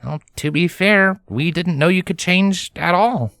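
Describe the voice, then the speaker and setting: A kindly male pony hero with an earnest, protective manner, as a man narrator voices him. His voice sits a bit higher than plain narration. It belongs in a fantasy audiobook. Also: unhurried, a bit bright, no room sound, studio quality. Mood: curious, gentle.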